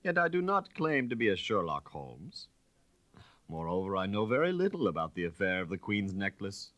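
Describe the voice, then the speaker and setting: A man voicing a suave, self-assured gentleman detective in an audiobook story, calm, precise and dogmatic. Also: lowish voice, moderate pace, no echo, muffled recording. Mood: modest, musing.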